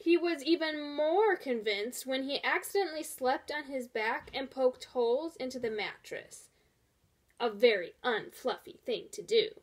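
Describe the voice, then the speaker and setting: Female storybook narrator, high-pitched, very measured pace, expressive read-aloud for children. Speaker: storybook reader.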